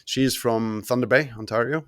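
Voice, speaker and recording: A man in his thirties from Germany, whose accent mixes German and New Zealand, talking as a podcast guest in a relaxed, rambling way with many ums and restarts. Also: mid-range voice, moderate pace, dry recording, clean studio sound. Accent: mix of German and New Zealand. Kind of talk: casual conversational interview.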